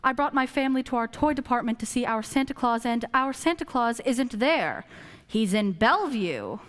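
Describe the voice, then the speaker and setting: A woman plays an irate, commanding male department store boss in a radio play. The voice is fairly high and lively, with curt orders echoing through a hall. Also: normal speed. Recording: echoey hall.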